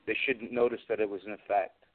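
Low-pitched man on a tinny line. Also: level tone, fast. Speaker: guest, film special effects artist. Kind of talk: casual radio interview chat. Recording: band-limited phone-like radio sound.

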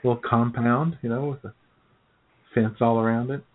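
A man's voice: mid-range voice, fairly even intonation, moderate pace. Joking male radio co-host, phone-like sound. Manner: joking, laughs often while talking. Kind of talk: casual comedic banter. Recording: thin phone-like internet radio audio.

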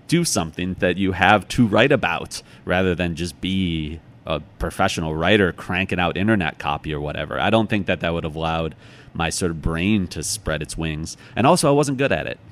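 An American man talking casually as an interview guest, telling stories in an animated, reflective way and correcting himself as he goes. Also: low voice. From United States, from Chicago. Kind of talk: casual conversational storytelling.

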